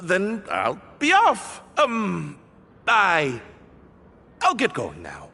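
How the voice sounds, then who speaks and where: A nervous, polite young game character with a high, bright voice. His pitch jumps wildly as he stammers and hesitates apologetically. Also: huge pitch swings, very slow, creaky, slight background noise, exaggerated voice. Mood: nervous, evasive. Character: nervous, flustered young man, polite and evasive. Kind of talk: video game character voice acting.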